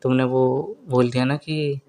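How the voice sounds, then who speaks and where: A man's voice: mid-range voice, fairly even intonation, measured pace, dark tone. Young man teasing on a private call.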